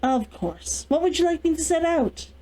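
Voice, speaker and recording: A woman's voice: extremely high pitch, very animated, normal speed, mellow, pitched above the performer's own voice. A woman voicing a deferential, nervous young housemaid in a storybook reading.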